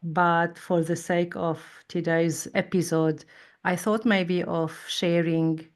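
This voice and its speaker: A woman in her forties, a psychologist guest speaking in a measured, thoughtful way in an interview. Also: fairly low pitch, fairly even intonation. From Lebanon. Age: 40s. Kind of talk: interview conversation.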